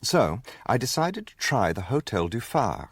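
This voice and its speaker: An actor playing an earnest, naive English gentleman, a detective's companion, speaking and narrating in a radio drama with a polite English accent. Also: mid-range voice, big pitch swings, measured pace, husky, no room sound, studio quality, heavily acted. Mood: rueful. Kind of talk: radio drama dialogue and first-person narration.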